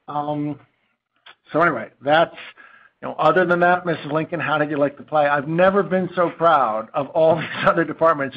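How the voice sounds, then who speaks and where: A man speaking as a company chief executive on an earnings call, unscripted and informal, with a halting, digressive delivery. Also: a little high. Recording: dull lo-fi conference call audio.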